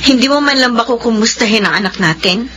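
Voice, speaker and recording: High-pitched long-suffering Filipino wife. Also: female voice, expressive, fast talker, fairly bright tone, a little hiss or hum. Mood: reproachful. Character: hardworking long-suffering wife and mother, hurt, resolute. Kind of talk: Filipino radio drama acting.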